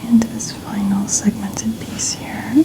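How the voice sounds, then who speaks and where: A woman softly narrating hair styling for ASMR in a breathy near-whisper, gentle and soothing. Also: medium pitch, flat delivery, slow pace. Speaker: ASMR creator.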